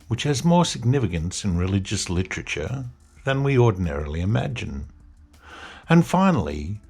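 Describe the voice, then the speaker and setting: Gravelly low-voiced man lecturing. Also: darkish tone. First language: English. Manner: measured, lecturing delivery. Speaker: podcast host. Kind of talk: scripted narration.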